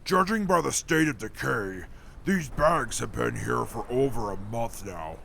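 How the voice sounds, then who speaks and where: Man acting a very gravelly, cold wasteland cynic. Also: mid-range voice, animated, measured pace, bright, forceful tone, slight background noise, heavily acted. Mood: dry, sardonic. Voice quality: gravelly, husky. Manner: curt, dry, callous. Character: gruff, cold, sardonic, short-tempered male wasteland traveller. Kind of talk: post-apocalyptic audio drama acting.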